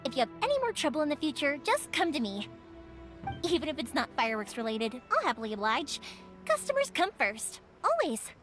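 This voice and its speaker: A woman's voice: high-pitched, big pitch swings, a little fast, fairly bright tone. A woman voicing a cheerful, talkative young fireworks maker in a game dub, eager to help everyone. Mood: warm. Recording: game audio with music behind.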